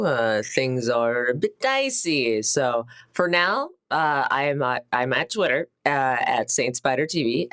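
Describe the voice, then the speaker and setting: A woman's voice: rumbling low, a bit bright, no echo, studio quality. A woman speaking casually as a cast member on a podcast. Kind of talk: casual chat.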